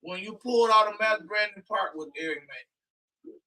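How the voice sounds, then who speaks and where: A man talks loudly and emphatically, rambling on as co-host of a casual community show. His voice is high and very bright. He sits a little back from the microphone. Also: normal speed, recorded from a distance, low-quality recording.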